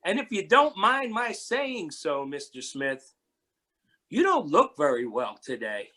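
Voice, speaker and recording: Reader acting lonely storybook man, slow, husky, formal, courteous, full of wonder. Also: medium pitch, sing-song, bright, forceful tone, full character voice. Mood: courteous, hopeful. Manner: formal, deliberate. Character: Mister Hatch, lonely polite man opening up in wonder. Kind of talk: readers theatre character reading.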